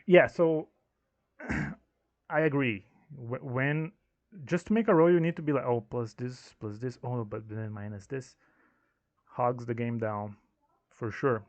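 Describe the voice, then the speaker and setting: A young man with a non-native English accent talks through his game rules in a loose, meandering way, restarting phrases as he thinks. His voice sits at an ordinary pitch. The recording is dull and lo-fi, with a dry, close sound. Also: no echo. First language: not English. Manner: rambling, self-correcting, thinking aloud. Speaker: game designer explaining his tabletop system. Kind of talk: casual explanatory monologue.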